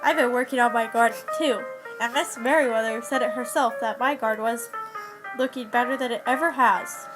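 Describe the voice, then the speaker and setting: A woman's voice: fairly high pitch, a little fast, natural voice, rough recording. A woman acting a level-headed, wry, needling suburban housewife in a slangy 1940s radio drama. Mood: competitive, needling. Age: teens. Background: music.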